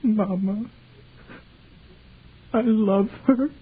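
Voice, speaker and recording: A wistful young shut-in. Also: male voice, high-pitched, extremely slow, a little hiss or hum. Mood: pleading. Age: 20s. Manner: wistful, brooding inner monologue. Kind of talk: 1940s radio acting. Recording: tinny lo-fi 1940s radio broadcast over music.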